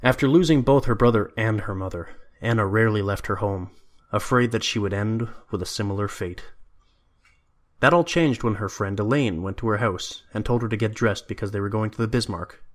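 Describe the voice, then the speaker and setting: A man's voice: on the low side, a little fast. A man reading scripted story narration as the narrator of an audio drama.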